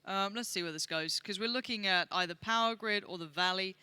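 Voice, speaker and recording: High-voiced excitable male esports caster. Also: brisk, clear, resonant tone, no echo. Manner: excitable, shouts during fights. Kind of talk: live esports commentary. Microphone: headset.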